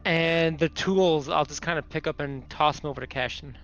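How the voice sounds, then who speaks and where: A man's voice: a little high, steady tone, normal speed, a bit bright. A man playing a tabletop roleplaying game, speaking casually. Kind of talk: casual chat. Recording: slightly dull, lo-fi mic.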